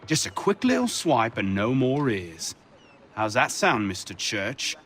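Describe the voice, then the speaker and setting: Gleefully menacing torturer, swooping pitch. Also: male voice, sing-song, measured pace, fairly bright tone. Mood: gleeful menace. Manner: taunting, sing-song relish. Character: sadistic mad male torturer, gleefully menacing. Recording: clear noise behind the voice.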